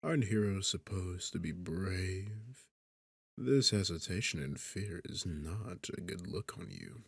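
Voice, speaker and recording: A man's voice: low voice, lively intonation, a little slow, creaky, slightly rough, dry recording, studio quality, exaggerated voice. A man playing an arrogant, sarcastic demon king in a seductive fantasy ASMR roleplay, with a smug, teasing, condescending manner. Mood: mocking, coaxing. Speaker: audio roleplay performer.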